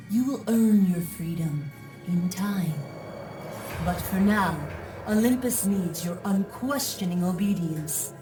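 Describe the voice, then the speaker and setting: An actress voicing a solemn, commanding goddess in a video game, grave and authoritative. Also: female voice, medium pitch, lightly acted. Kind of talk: dramatic video game acting. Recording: distant, echoing voice under music.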